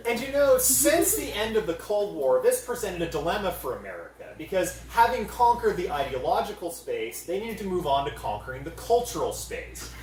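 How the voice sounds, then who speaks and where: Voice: medium pitch, sing-song, moderate pace, few pauses, a little mellow, a little hiss or hum. A convention panel co-presenter giving a mock-serious comedy talk to a live audience, satirically pompous, recorded at a distance in a roomy space. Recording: roomy echo, picked up from a distance.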